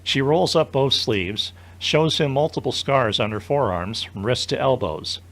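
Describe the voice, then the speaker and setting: Medium-pitched male audio drama narrator. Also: measured pace, a bit bright.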